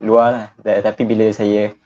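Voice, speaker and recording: A young man in his twenties from Malaysia, a university student answering interview questions politely and in a measured way. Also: fairly low pitch, completely flat delivery, dark tone. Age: 20s. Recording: dull lo-fi sound.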